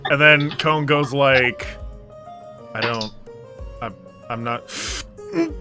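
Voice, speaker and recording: Bright-voiced man roleplaying at a game. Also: medium pitch, slow, soft background music. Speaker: player in a tabletop game. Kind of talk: casual improvised roleplay narration.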